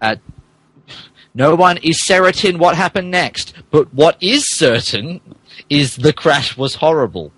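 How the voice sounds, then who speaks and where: Brief: male comedic fan fiction narrator, animated delivery, fumbled words and asides, dry sound. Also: on the high side, takes it slowly, breathy edge, no echo, rough recording. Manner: stumbles over words, mock-dramatic asides. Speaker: podcast host reading as narrator.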